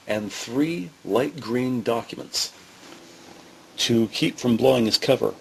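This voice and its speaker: A man's voice: mid-range voice, gravelly, rough recording. A man reading scripted present-tense narration for a detective audio drama. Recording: faint background noise and ambience.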